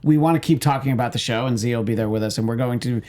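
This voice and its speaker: A man's voice: medium pitch, rapid-fire, darkish tone. A man co-hosting a casual panel discussion, wordy, with mock-formal asides mixed into loose talk. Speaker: panelist and co-host.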